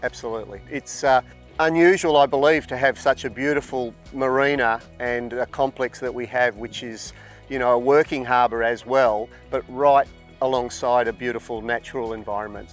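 A middle-aged man, general manager of a marina, answering questions outdoors in a polite, measured and slightly formal way. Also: medium pitch, fairly even intonation. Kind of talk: interview answers. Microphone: lapel mic. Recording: faint background music.

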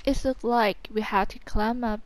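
Slow-paced, higher-pitched woman gamer. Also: fairly even intonation, a little mellow, dry recording. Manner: talks to herself in short phrases. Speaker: gamer commentating a playthrough. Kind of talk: casual gameplay commentary. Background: video game music and sounds.

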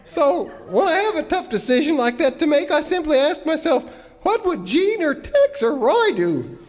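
Eager folksy cowboy deputy, swoopy melodramatic delivery, radio spoof in a boomy hall. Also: male voice, very animated, moderate pace. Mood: earnest. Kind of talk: old-time radio serial parody acting.

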